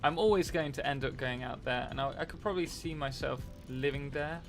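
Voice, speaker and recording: A man's voice: medium pitch, fairly quick, faint music behind. A young man hosting a casual live stream while playing a game, rambling and answering chat messages.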